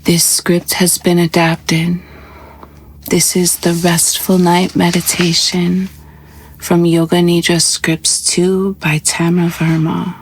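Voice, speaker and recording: Woman doing a sleep meditation, super slow and flat, husky gravelly voice, soft and hushed. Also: lowish voice, a little hiss or hum. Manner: slow, soothing, hushed delivery with long pauses. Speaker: yoga nidra meditation guide. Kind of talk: guided sleep meditation, scripted.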